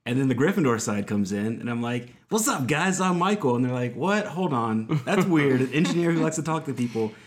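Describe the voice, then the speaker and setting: A man's voice: mid-range voice, lively intonation, a little fast, hardly any pauses. A man in his late twenties from Georgia, joking and poking fun at himself in a casual chat with friends. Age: 20s. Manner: joking, self-mocking. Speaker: podcast co-host.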